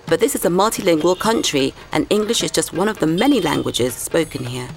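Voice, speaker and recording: A reporter giving scripted news narration in a clear, authoritative way. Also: mid-range voice, animated, a little fast, music in the background.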